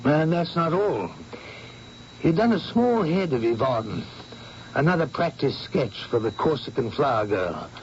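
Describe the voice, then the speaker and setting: A man's voice: on the high side, very animated, measured pace. An actor playing a male artist friend in an old radio drama, breezy and chatty, a little evasive. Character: Max, male artist friend visiting from Paris. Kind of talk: 1970s radio drama acting. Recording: dull old radio broadcast, faint hiss.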